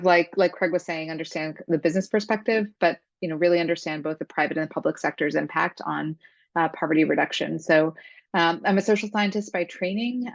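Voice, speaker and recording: A woman's voice: fairly low pitch, creaky, no echo. A woman in her thirties, from Serbia and raised partly in the US, reflectively introducing herself as a panelist. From Serbia, later the US. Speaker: career panelist, executive director. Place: room at home, video call. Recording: slightly dull video-call audio.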